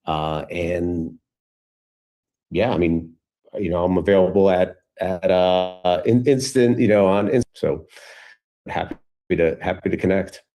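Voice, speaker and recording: A man speaking casually as a guest on a video call, in halting, reflective phrases. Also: low-pitched, warm tone. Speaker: guest speaker, community founder.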